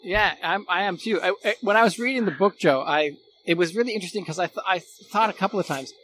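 A man's voice: high-pitched, fast, a bit bright, slight background noise. A middle-aged Canadian man telling anecdotes as a guest in casual conversation, repeating himself as he goes. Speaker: guest, former radio colleague.

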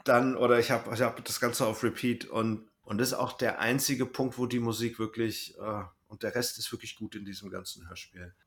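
Low-voiced man chatting casually. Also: fairly even intonation, moderate pace, few pauses, a bit bright. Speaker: podcast co-host; bus driver. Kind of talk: casual podcast chat, audio drama review.

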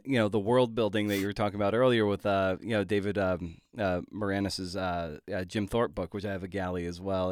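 A man hosting a podcast interview in a casual, halting and rambling way, with many fillers. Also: fairly low pitch, dry recording, studio quality.

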